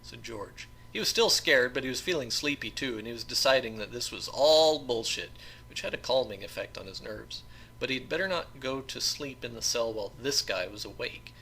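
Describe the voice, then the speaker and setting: A man's voice: mid-range voice, lively intonation, normal speed, gravelly, pushed and bright, slight background noise, poor audio. A man reading a novel aloud as scripted audiobook narration. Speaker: audiobook reader.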